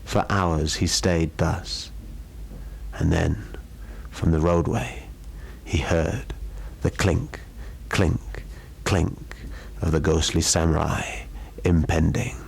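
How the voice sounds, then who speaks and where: Male storyteller, low-pitched, dramatic narration of eerie tales. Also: fairly even intonation, slow, creaky, long pauses, husky. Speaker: storyteller reading a ghost story.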